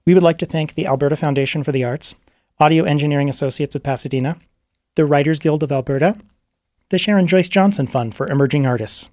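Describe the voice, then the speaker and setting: A man's voice: on the high side, fast, a little mellow, dry recording, clean studio sound. A man giving a polite, formal scripted introduction as producer and host of a radio drama. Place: radio studio, live broadcast.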